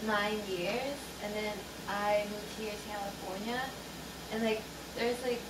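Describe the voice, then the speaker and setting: A woman's voice: medium pitch, steady tone, a little slow. A young woman from the Philippines sharing her story in a casual group discussion, talking with lots of fillers. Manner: chatty, many fillers. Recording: roomy, distant mic, noisy.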